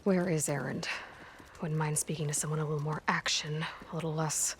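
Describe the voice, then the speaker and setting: An actress playing a determined, impatient young woman warrior in a video game, blunt and dry, with a husky voice. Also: low voice, level tone, a little fast, slight background noise. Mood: dry, unimpressed. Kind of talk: video game cutscene acting.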